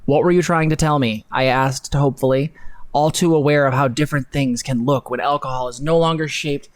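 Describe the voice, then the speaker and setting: A man's voice: mid-range voice, steady tone, fairly quick, no echo. A young man hosting a live stream, reading aloud dramatically with mocking, sweary, theatrical commentary. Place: home room, indoors.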